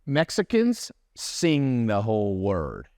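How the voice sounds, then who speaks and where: A man in casual conversation, telling lively stories and mimicking accents and friends' phrasing. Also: on the low side, takes it slowly, dry recording, studio quality. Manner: animated storyteller, mimics accents. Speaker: podcast guest, business mentor. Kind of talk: casual chat.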